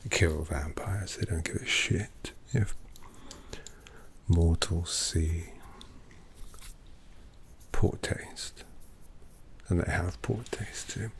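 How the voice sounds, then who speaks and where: A man voicing a sardonic old male vampire painter who drawls intimately in a gravelly whisper, as an ASMR roleplay. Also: low-pitched, extremely slow, long pauses, full character voice. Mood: snide whisper.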